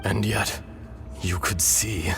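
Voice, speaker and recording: A man voicing the calm, weary, benevolent spirit of an ancient dragon in a video game, speaking in a solemn, measured, poetic way. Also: low voice, full character voice. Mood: wistful, weary. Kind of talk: dramatic video game voice acting. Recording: loud game music under the voice.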